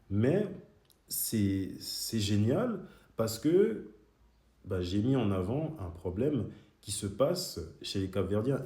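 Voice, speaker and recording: A man's voice: lowish voice, dark tone. A young man who grew up in Switzerland, speaking straight to his critics in a heated, argumentative monologue. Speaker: video creator. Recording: echoey room.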